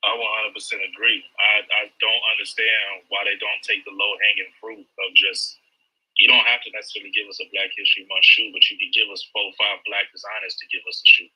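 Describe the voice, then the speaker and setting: A man hosting a casual chat, blunt and slangy with plenty of swearing, in a raspy voice. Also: on the low side, little pitch movement, brisk, dull audio. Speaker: host of a live audio discussion.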